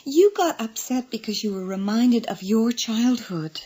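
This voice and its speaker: Practical, gently insistent American wife and mother, radio drama, expressive pitch swings, slightly husky tone. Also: unhurried. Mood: concerned, probing. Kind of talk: naturalistic radio drama acting.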